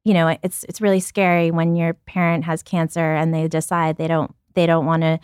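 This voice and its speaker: A woman's voice: low-pitched, level tone, normal speed, darkish tone, smooth, no echo, clean studio sound. A woman in her thirties, originally from Ukraine and raised in Brooklyn, talking candidly as a podcast guest, often restarting her sentences. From born in Ukraine, raised in Brooklyn, New York. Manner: reflective, frequent restarts, light laughs. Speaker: guest, company founder.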